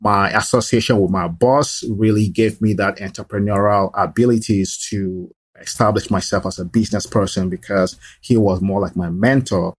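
A middle-aged man with a fairly low voice talks his story through at an easy pace, leaning on "you know" as he goes. The sound is close and dry, with no room around it. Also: breathy edge, no echo. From Nigeria. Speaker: guest, small business owner. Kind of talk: conversational life story.

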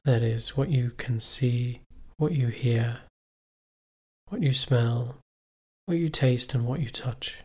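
A man leading a guided meditation as a teacher, giving calm, measured instructions with long pauses between phrases. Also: mid-range voice, husky.

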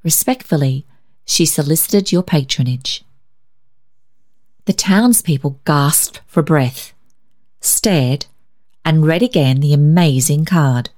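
A woman reading a story aloud as scripted narration, in an expressive, measured, dramatic way. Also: low voice. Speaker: story reader.

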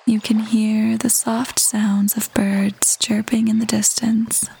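Very slow, soft-voiced woman guiding meditation. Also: a little high, a little hiss or hum, poor audio. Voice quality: soft, breathy, half-whispered. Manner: gentle, calm, unhurried with long pauses. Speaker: meditation guide. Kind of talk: guided meditation, slow soothing narration. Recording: voice over prominent background music. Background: meditation music.

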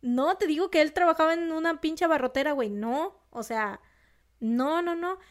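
Young woman, northern Mexican accent, high voice, slangy, emphatic storytelling. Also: normal speed. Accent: Mexican, northern Monterrey. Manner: slangy, emphatic, animated outbursts. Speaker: podcast co-host telling a true crime story. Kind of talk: casual storytelling chat.

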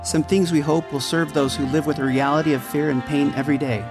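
A man's voice: a little high, steady tone, a little fast, music in the background. A man reading a scripted podcast outro in an earnest, supportive manner. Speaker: podcast cast member and announcer.

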